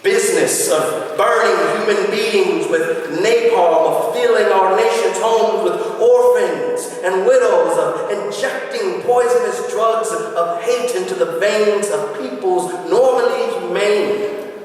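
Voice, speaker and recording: A man giving a dramatic reading of a speech, solemn and oratorical, with deliberate phrasing. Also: sits high. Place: large indoor hall, public event. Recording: echoey hall, distant microphone.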